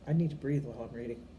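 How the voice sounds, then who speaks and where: A woman's voice: rumbling low, dark tone, muffled recording, a little hiss or hum. An older woman reading a storybook aloud with expression, informal and occasionally stumbling over words.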